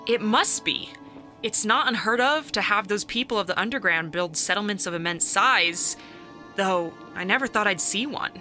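A brave, earnest female adventurer acted by a woman speaks at an ordinary pitch. She is a curious leader in a fantasy audio drama. Music plays clearly behind her. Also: lively intonation, normal speed, pushed and bright. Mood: awed. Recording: music under the voice.

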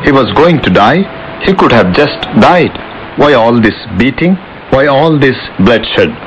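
A man's voice: mid-range voice, expressive, unhurried, slight background noise. A man hosting a devotional radio program, speaking in a reflective scripted style. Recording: dull shortwave broadcast with loud guitar music.

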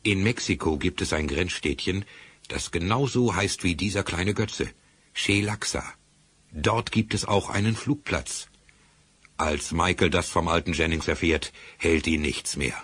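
An actor as the storyteller of a German audio drama, giving scripted dramatic narration. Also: male voice, deep voice, unhurried, a bit bright, slightly rough, full character voice. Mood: narrating.

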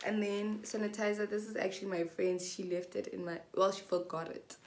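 A woman's voice: mid-range voice, slight background noise. A young woman from South Africa chatting casually to camera in a small indoor room, showing what she packs in her bag. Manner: chatty, slow and relaxed, mixes in Setswana phrases. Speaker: vlogger. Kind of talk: casual vlog chat.

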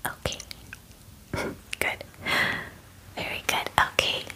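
A woman in her twenties whispering gently and soothingly in an ASMR personal attention roleplay, close to the microphone. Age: 20s. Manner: gentle, soothing, reassuring, unhurried. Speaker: ASMR roleplayer playing a spa groomer. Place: small bedroom, indoors. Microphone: close microphone, near the camera.

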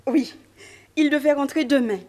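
A woman's voice: high voice, steady tone, normal speed, warm tone, lightly acted. An actress playing a simple, pious housemaid who tells plainly what she saw, in an old radio drama. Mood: telling. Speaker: servant witness. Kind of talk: 1950s radio drama acting.

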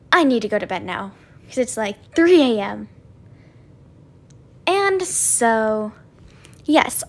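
A young woman chatting casually as a content creator, giving an informal update. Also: high-pitched.

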